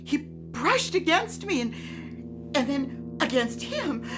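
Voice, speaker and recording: Very high-voiced terrified witness. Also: female voice, sing-song, slow, exaggerated voice. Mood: frightened. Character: terrified, shaken woman witness at a masquerade. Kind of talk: gothic audio drama acting. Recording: music behind.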